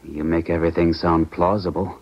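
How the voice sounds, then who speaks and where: An actor playing a grieving, unsettled scientist, earnest and troubled, in a 1970s radio drama. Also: male voice, low-pitched, fairly even intonation, brisk. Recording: dull 1970s radio recording.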